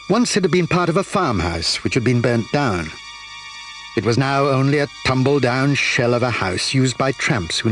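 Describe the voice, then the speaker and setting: A man narrating a children's radio play with expressive, measured delivery. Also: mid-range voice. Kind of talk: scripted storybook narration.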